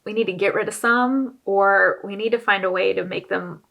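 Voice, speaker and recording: A woman's voice: medium pitch, normal speed, gravelly, a bit bright. A woman hosting a reaction video, giving casual, opinionated commentary on a TV show in a conversational way.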